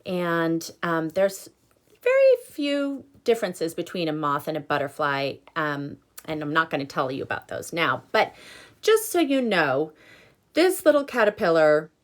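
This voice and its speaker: A woman teacher reading aloud to children, with expressive emphasis and instructive explanatory asides. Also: low voice, a little slow. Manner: instructive, checks in with listeners.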